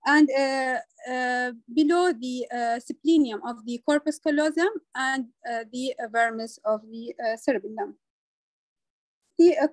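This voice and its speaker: High-voiced woman giving a halting lecture. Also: no echo, low-quality recording. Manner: halting, hesitant delivery with frequent filled pauses. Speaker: medical lecturer. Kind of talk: slide lecture on anatomy.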